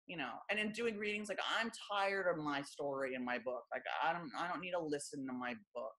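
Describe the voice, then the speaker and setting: Deep-voiced, bright-toned woman. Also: a little fast. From Los Angeles. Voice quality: deep low voice. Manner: wry, self-deprecating, lots of fillers like "you know" and "like". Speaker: guest, author and former stand-up comic. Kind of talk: candid conversational interview.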